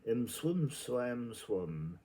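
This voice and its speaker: A man's voice: mid-range voice, sing-song, very slow, warm tone, slight background noise. A man doing an informal read-aloud of a literary novel, declamatory when reading and muttering rambling asides to himself.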